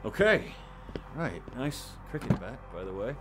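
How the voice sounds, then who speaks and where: A man's voice: mid-range voice, sing-song, unhurried. A man playing a weary, irritable, sceptical doctor in an audio drama, speaking casually. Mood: exasperated.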